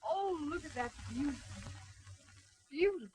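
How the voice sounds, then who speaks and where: An actress playing a refined, gushing wife in a 1930s film. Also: female voice, high voice, animated, a little slow, darkish tone, a little hiss or hum. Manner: gushing, airy.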